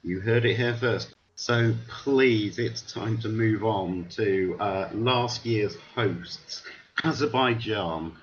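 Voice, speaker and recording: Lo-fi low-voiced male host. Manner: brisk, steering questions to guests. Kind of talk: hosting a panel discussion. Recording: dull lo-fi audio with faint hiss.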